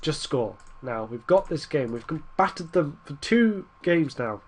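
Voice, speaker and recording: A man's voice: fairly high pitch, animated, unhurried. A young man with a stuffy nose from a cold, rambling through casual commentary over a football management game and urging his team on. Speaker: gaming YouTuber.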